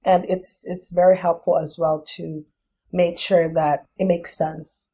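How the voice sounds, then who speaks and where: A woman's voice: fairly low pitch, flat delivery, a little slow, warm tone, slightly rough. A young adult woman and business founder, a podcast guest, explaining things step by step in a thoughtful, casual way. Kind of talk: casual interview.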